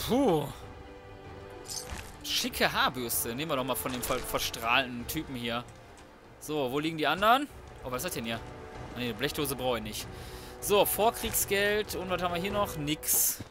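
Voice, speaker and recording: A man's voice: on the high side, animated, moderate pace, pauses often, music in the background. A man giving casual let's play commentary, thinking aloud in slang with some swearing. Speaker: gaming video host.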